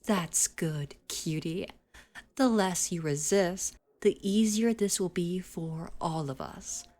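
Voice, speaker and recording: A woman's voice: on the low side, slow, warm tone. A woman acting a mocking, domineering schoolgirl bully in an ASMR roleplay, condescending and teasing with menace. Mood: mock-sweet, menacing.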